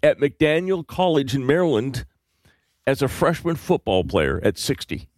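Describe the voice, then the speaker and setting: A man's voice: mid-range voice, big pitch swings, unhurried, a little mellow, dry recording. A man with an American accent presenting items and chatting casually as a radio co-host. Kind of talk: casual panel chat.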